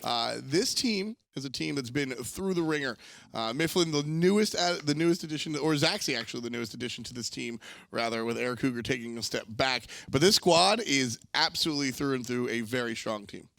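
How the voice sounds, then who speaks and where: A man with a creaky, gravelly voice hosts an esports desk. He presents emphatically, moving between hosting and analysis, and the sound is dry and close. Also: mid-range voice, fairly quick, a bit bright, dry recording.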